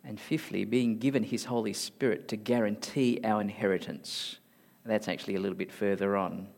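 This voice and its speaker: A man from Malaysia with a mixed Malaysian, Singaporean and Australian accent, preaching a sermon emphatically and rising to loud high emphasis. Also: steady tone, normal speed, creaky. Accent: mixed Malaysian, Singaporean and Australian English. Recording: hall reverb.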